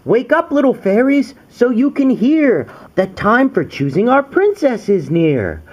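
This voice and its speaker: A man voicing gentle, gracious, excited female fairies from a storybook, in sing-song rhyme. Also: very high voice, unhurried, lo-fi sound, voice pushed up, heavily acted. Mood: proclaiming.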